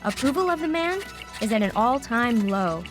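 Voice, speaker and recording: A woman's voice: on the high side, very animated, moderate pace, music in the background. A woman playing a dramatic news anchor in a satirical play, emphatic, declamatory and mock-serious. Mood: urgent. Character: dramatic female news anchor announcing scandal and doom. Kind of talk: parody news reading in audio play.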